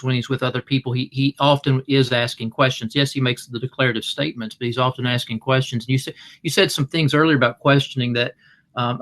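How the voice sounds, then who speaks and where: A man's voice: mid-range voice, a bit bright. A man co-hosting a conversational discussion. He is reflective and asks long, open questions. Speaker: co-host and interviewer.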